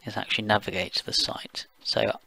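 A man from the UK talking through a software screencast step by step, plain and explanatory, with occasional fillers. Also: fairly low pitch, level tone, fairly quick, vocal fry. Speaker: software engineer giving a tutorial. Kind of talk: screencast walkthrough voice-over.

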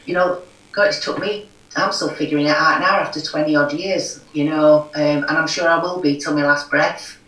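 A woman's voice: deep voice, steady tone, normal speed, fairly bright tone. A middle-aged woman with a broad Yorkshire accent, talking frankly and earnestly as an interview guest on a video call, full of "you know". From Yorkshire, England. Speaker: guest, recovery forum chair. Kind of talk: conversational interview. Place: home room. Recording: echoey room, far from mic.

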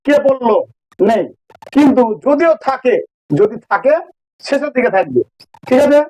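A man's voice: very high voice, lively intonation, normal speed, darkish tone, rough recording. A man with an electronically altered voice, explaining grammar step by step as a teacher, repeating key phrases. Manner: didactic, repeats key phrases and checks understanding. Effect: voice changer. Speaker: English teacher.

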